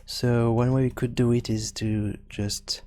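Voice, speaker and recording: A man presenting an unscripted programming tutorial, hesitant and halting as he thinks aloud. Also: mid-range voice, flat delivery, a little mellow.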